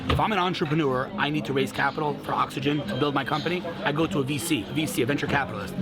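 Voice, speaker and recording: Fast-talking excitable male interviewer. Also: fairly high pitch. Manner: excitable, gushing, talks over guests. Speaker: vlog host interviewing guests. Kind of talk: casual energetic interview. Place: busy restaurant. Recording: noisy restaurant with loud music. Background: loud music and crowd chatter.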